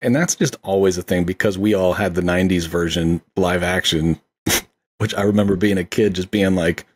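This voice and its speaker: A man's voice: deep voice, normal speed, breathy edge. A man chatting on a podcast about movies, enthusiastic and rambling with lots of "like.". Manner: enthusiastic, rambling, many fillers like "like". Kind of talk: casual movie discussion.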